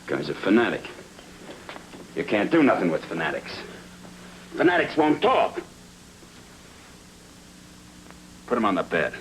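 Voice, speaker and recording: A deep-voiced American gangster henchman, straight out of 1940s noir. He is slangy, menacing and sarcastic. The old soundtrack carries steady noise. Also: moderate pace. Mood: scornful. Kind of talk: 1940s film noir radio-style acting. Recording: noisy old film soundtrack.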